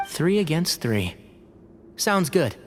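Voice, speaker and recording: A man's voice: fairly high pitch, very animated, slow, in a large hall, faint music behind. A man playing an earnest, supportive comrade in a video game, plain-spoken. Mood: earnest, confident.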